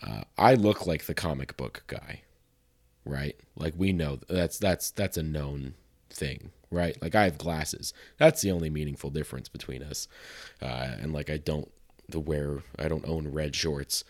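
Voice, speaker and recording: A hoarse man with a sore throat, hosting a podcast in a casual, self-deprecating ramble full of fillers. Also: very deep voice, unhurried. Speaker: podcast host.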